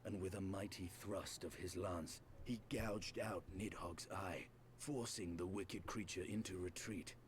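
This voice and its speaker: Man acting a deep, grave dragoon knight. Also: fairly even intonation, measured pace, few pauses, slight background noise. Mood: solemn. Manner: formal, archaic, storytelling. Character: grave, proud dragoon knight, archaic and solemn. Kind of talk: dramatic reading of fantasy game dialogue.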